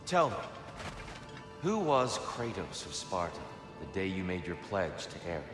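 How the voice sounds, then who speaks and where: An ancient, wise god of myth in a video game. He speaks in a fairly low register with formal, patient, encouraging phrasing. The sound is very echoey, with a long tail and music behind it. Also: big pitch swings, measured pace. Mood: solemn, earnest. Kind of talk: dramatic video game acting.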